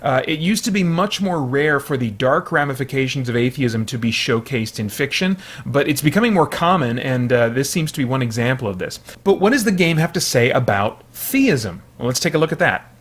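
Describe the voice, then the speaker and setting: A man's voice: mid-range voice, lively intonation, fairly quick, few pauses, breathy edge. Man giving informal analytical commentary. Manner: explanatory, hedging with frequent "you know". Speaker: video essay host.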